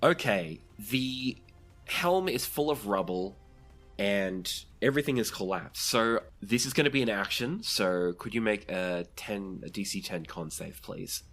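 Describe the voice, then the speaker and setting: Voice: sits low, expressive, a little slow, fairly bright tone, faint music behind. A game master running a tabletop role-playing game, narrating scenes and calling for rolls in a conversational way.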